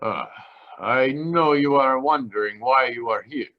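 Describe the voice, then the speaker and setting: Man as a halting, slow-spoken Italian father. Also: mid-range voice, muffled recording, natural voice. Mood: troubled, halting. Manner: halting, hesitant. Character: anxious Italian father, wax museum proprietor.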